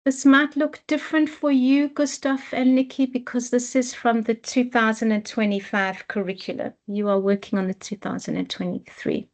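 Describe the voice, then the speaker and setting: A woman who heads a dance program, giving a slow, measured presentation on a video call with many restarts. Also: on the high side, steady tone. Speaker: head of program. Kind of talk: online info session presentation.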